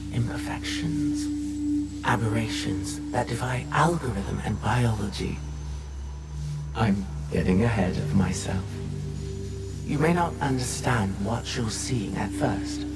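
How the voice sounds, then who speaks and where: Cryptic soothing video game guide, mid-pitched male, solemn and deliberate, music playing behind. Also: expressive. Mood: cryptic, coaxing. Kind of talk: scripted video game narration.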